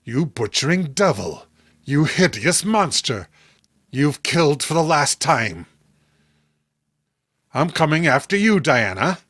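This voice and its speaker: A man acts out a horrified, indignant horror-comic hero. His delivery is slow, emphatic and melodramatic, with wide swings of pitch. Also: sing-song, fairly bright tone, no room sound, clean studio sound, heavily acted. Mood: anguished, enraged. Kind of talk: horror comic read-along acting.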